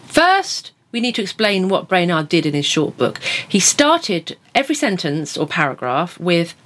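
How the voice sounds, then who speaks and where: A woman's voice: on the low side, very animated, normal speed, fairly bright tone. A woman playing a magazine editor in a scripted comedy dialogue, blunt and sardonic.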